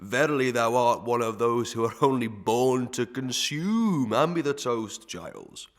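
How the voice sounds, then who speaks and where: An actor playing a pompous, sly, gluttonous parish clerk who sermonises in archaic proverbs and Latin tags in a melodrama. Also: male voice, mid-range voice, expressive, a little slow, close to an everyday voice. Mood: pompous, lecturing. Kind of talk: Victorian melodrama audio acting.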